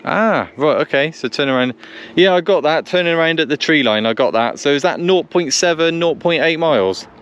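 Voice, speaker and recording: A man's voice: a little high, animated, fairly quick, muffled recording. A man giving casual running commentary and radio calls, repeating himself as he thinks aloud. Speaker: vlogger testing two-way radios. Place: outdoors on a country lane. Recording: noisy outdoor recording with vehicle sounds.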